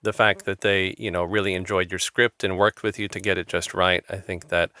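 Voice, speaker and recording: A man hosting an interview podcast, talking in a halting way with many restarts and fillers. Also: deep voice, little pitch movement, bright, forceful tone, no room sound, studio quality. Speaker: podcast host. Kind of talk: interview chat.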